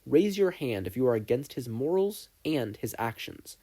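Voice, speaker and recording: A man voicing a stern but proud teacher in a fan fiction story, lecturing in a measured, stoic way. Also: medium pitch, big pitch swings, mellow. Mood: stony, firm.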